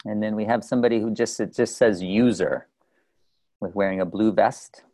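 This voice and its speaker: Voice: mid-range voice, normal speed, mellow. A host patiently guiding people through introductions on a video call in a casual, instructive way. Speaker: host of an online group call.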